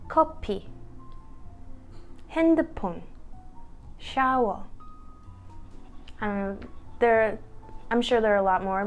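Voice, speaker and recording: A young woman in her twenties chats to her camera at home in an easy, upbeat way, laughing at herself as she goes. Her voice sits at an ordinary middle pitch, and soft music plays faintly underneath. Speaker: vlogger. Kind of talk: casual chat to camera.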